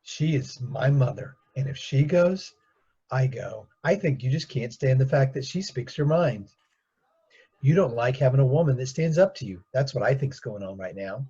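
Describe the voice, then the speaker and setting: Man voicing a pleading wife, husky tone, dull lo-fi sound. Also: medium pitch, expressive, moderate pace, warm tone. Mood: exasperated. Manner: pleading, exasperated. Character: exasperated wife Janice, defensive of her mom.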